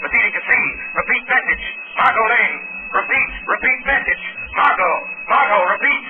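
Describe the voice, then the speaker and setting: Hero role: ominous invisible crime fighter, authoritative and taunting, thin, hissy 1930s radio sound. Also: male voice, extremely high pitch, expressive, normal speed. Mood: urgent. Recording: very noisy, tinny 1930s radio recording.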